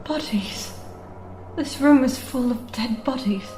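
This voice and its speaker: A woman's voice: fairly high pitch, takes it slowly, dark tone. A woman playing a wary, earnest young adventurer in a fantasy audio drama. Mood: shaken. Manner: earnest, urgent. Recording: echoey room with music behind.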